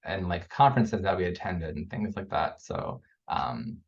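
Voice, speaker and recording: A young man, a clinical psychology graduate student, hoarse and losing his voice, answering a panel question over a video call with many fillers. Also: low-pitched, normal speed, lo-fi sound. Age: young adult.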